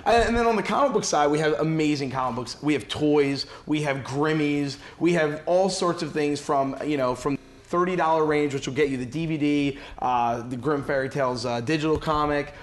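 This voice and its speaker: A man's voice: on the high side, normal speed. A man speaking as an enthusiastic, salesy guest producer in a casual promotional interview. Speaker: guest, animation and comics producer promoting a crowdfunding campaign.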